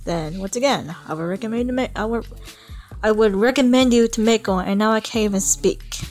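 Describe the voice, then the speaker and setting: A woman's voice: mid-range voice, a little fast, darkish tone, soft background music. A young woman talking casually in a vlog, hesitant, with frequent stutters and restarts. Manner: hesitant, stutters and repeats herself. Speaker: vlogger. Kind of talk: casual, unscripted vlog talk.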